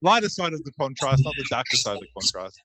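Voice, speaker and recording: A man's voice: mid-range voice, big pitch swings, fast talker, sharp tone. A man in his thirties hosting a casual podcast, joking crudely and facetiously. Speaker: podcast host.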